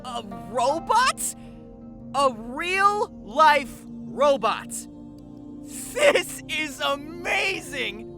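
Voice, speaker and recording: An actor voicing an excitable, awestruck young adventurer in a visual novel game. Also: high voice, very slow, music in the background, exaggerated voice. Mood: amazed.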